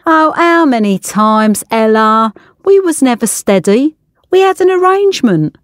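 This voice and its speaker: A woman's voice: a little high, very animated, a little slow, dry recording, clean studio sound, pitched above the performer's own voice. A woman voicing a cheeky, flirtatious male pastry chef in a storybook reading, cocky and dismissive. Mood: exasperated.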